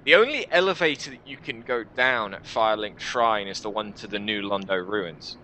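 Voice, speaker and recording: A man's voice: fairly low pitch, moderate pace, bright, forceful tone, a little hiss or hum. A man hosting a gaming video, reading visual novel narration dramatically and adding casual commentary.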